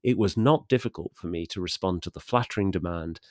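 A man co-hosting a literary discussion in a scholarly, measured manner, setting out his points with care. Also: low voice, no room sound, studio quality. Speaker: podcast co-host.

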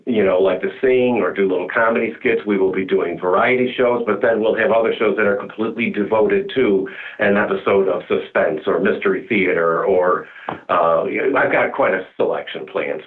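A middle-aged man with a low voice talks through a narrow, phone-like connection. He explains things in a casual, rambling way, as a guest in an interview. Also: fairly quick. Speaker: community theater director, interview guest. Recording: muffled narrowband phone-like audio.

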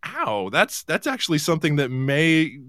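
Guy hosting a chatty live podcast, kinda high voice, wry and disbelieving asides. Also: wildly swooping pitch, normal speed, a bit bright, dry recording, studio quality. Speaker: podcast host. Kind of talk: casual live podcast chat.

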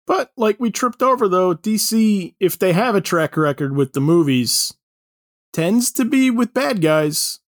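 A man's voice: high-pitched, lively intonation, a little slow, no echo, studio quality. A man hosting a casual panel chat, talking informally with the others. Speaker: podcast host.

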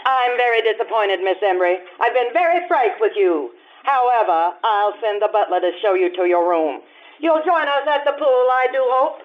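A woman's voice: on the high side, expressive, moderate pace, slight background noise, natural voice. Radio drama society bride played by a woman: young, haughty, gushingly polite and prying, tinny phone-like recording. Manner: gushing, mock-polite, airy. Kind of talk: old-time radio stage acting.

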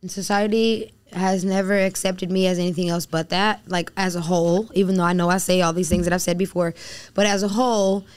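A woman's voice: lowish voice, fairly quick. A woman from the Bahamas talking frankly in a casual podcast chat, acting out little quotes as she makes her points. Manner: frank, animated storytelling with acted-out quotes.

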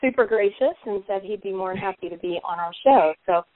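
A woman hosting a podcast interview, her voice raspy and hoarse from a cold, chatty and upbeat. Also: medium pitch, fairly quick, dry recording. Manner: upbeat, chatty, reads guest bio aloud. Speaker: podcast host. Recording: tinny phone-like line, dry.

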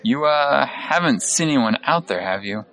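A man playing a nervous gate guard with a halting, awkward, flustered way of talking in an audio drama. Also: mid-range voice, lively intonation, a bit bright, low-quality recording. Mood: uneasy.

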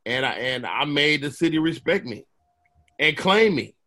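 A man's voice: on the high side, moderate pace. A man from Chicago who is a comedian, telling stories in casual conversation and acting out other people's voices. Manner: animated storyteller, acts out voices.

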